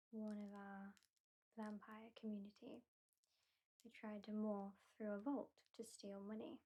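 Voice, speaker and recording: A woman with a British accent playing an aloof, sardonic vampire hypnotist in a soft, whispery, deliberate ASMR roleplay. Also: mid-range voice, flat delivery, low-quality recording. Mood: dry, matter-of-fact. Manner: slow, deliberate, lightly dismissive.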